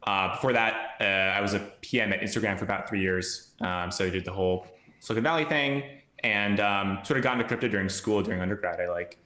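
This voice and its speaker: Low, bright-toned man in an echoey room. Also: quick pace. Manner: relaxed, rambling with fillers and restarts. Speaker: podcast guest, crypto investor. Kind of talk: casual chat. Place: home room with brick wall and plant.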